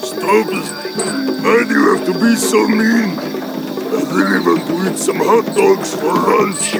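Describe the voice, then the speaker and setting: A man's voice: sits high, takes it slowly, vocal fry, full character voice, low-quality recording. An actor playing a whining, sulky man who pleads like a child in a dubbed game cutscene. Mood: hurt, whining. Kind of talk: English dub of video game cutscene. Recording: loud music behind.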